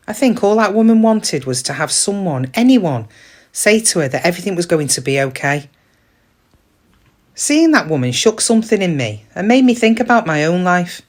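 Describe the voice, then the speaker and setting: Woman acting middle aged british shop lady doing a monologue, lowish voice that moves about a lot, wry n confiding, bit weary. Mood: troubled, reflective. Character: chatty middle-aged woman shop worker, kind but quietly weary. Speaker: actor in a dramatic monologue. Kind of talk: conversational dramatic monologue.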